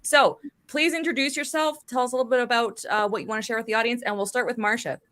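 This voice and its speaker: Casual woman hosting, high-pitched voice. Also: steady tone, fast talker, fairly bright tone. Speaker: panel host.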